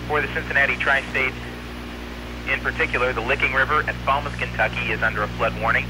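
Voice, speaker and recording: A man announcer on weather radio, reading scripted warning bulletins in a formal, instructive manner. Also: mid-range voice. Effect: radio broadcast. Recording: noisy 1990s weather radio broadcast with hum.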